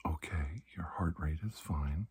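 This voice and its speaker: A man whispering softly in an ASMR roleplay as a calm, reassuring doctor, speaking in halting, soothing short phrases. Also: rumbling low. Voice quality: breathy, mostly whispered. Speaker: ASMR performer playing a doctor.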